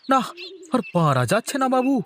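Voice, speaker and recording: A man's voice: very high voice, sing-song, moderate pace, a bit bright, a little hiss or hum, exaggerated voice. A man playing an exasperated village husband in a cartoon story, placating and coaxing as he talks. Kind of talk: Bengali cartoon story acting.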